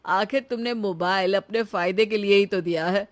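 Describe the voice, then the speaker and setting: Casting: woman as young husband, mid-pitched, matter-of-fact, gently teasing, animated domestic comedy. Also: normal speed, natural voice. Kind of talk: animated moral story dialogue.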